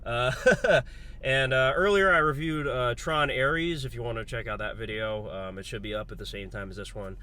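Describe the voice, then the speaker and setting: A congested man, under the weather, giving a relaxed, rambling movie review full of fillers. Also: mid-range voice, normal speed. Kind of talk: casual spoken movie review.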